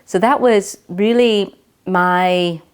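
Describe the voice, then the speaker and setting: A middle-aged woman, recalling her past in an oral history interview, halting and reflective with many fillers and restarts. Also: mid-range voice, smooth. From Vietnam.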